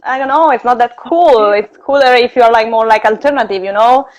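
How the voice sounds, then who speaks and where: A woman's voice: a little high, a little fast, rough recording. A young Italian woman with a light Italian accent, chatting casually as a guest, full of fillers and laughter. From Italy. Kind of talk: casual chat.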